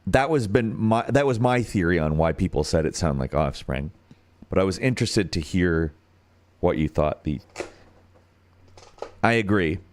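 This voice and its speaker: Deep-voiced American man, lively chat. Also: normal speed, pauses often. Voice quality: deep radio-style voice; turns nasal when singing high. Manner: thinks aloud, searching for words. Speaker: musician hosting a livestream. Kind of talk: casual livestream chat.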